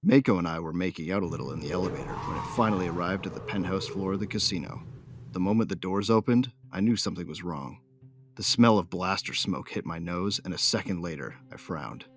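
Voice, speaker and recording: A man's voice: sits low, a little fast, background noise. A man playing a cocky, hot-tempered bounty hunter who tells his own story in a brash, colloquial, hard-boiled way. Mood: tense, grim. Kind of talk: first-person dramatised audiobook narration.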